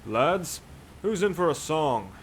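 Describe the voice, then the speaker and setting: A man's voice: on the high side, big pitch swings, slow, slight background noise. A man playing a dry, learned, skeptical sailor who teases his shipmates in a nautical audio drama. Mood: dry, teasing. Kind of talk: cinematic audio roleplay, nautical fantasy.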